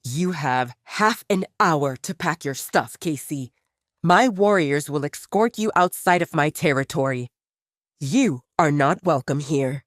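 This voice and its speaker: A woman narrator acts out a male pack leader with a hard temper. The voice drops low and speaks with stern, unbending authority, dry and close, in audio drama style. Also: expressive, a little slow, no room sound. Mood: stern anger.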